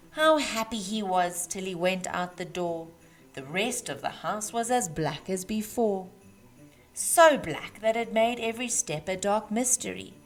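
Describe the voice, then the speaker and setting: A woman reading a rhyming children's storybook aloud with measured, emphatic phrasing for young listeners. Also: mid-range voice. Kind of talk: expressive read-aloud of rhyming Christmas storybook. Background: music.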